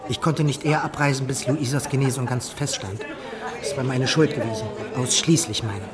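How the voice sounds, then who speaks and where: An actor playing an earnest, restrained Regency naval captain in a radio drama, speaking in a measured, formal manner. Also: male voice, mid-range voice, a crowd in the background, natural voice. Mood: remorseful. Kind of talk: German radio drama dialogue.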